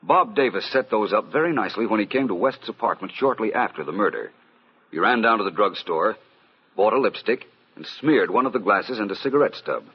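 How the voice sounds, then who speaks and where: An old lo-fi radio mystery recording carries a self-assured gentleman detective. His voice is low and a little husky, urbane and precise, with a knowing, superior polish. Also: lively intonation, brisk. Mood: wry, relaxed. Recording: dull, lo-fi vintage radio recording.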